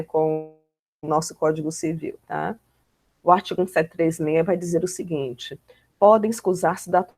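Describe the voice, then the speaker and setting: A woman's voice: low-pitched, darkish tone, no room sound. A Brazilian woman giving an informal law lecture, explaining in a didactic, conversational way and often checking in with short tag questions.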